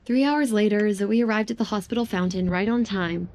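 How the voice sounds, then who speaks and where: A woman's voice: mid-range voice, fairly quick, darkish tone. A woman giving scripted, dramatic narration of a story.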